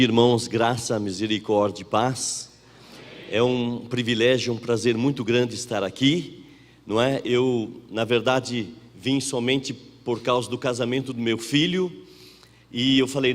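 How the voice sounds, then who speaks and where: A middle-aged man, a missionary, greeting the church in a hesitant way with fillers. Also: medium pitch. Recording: roomy church reverb.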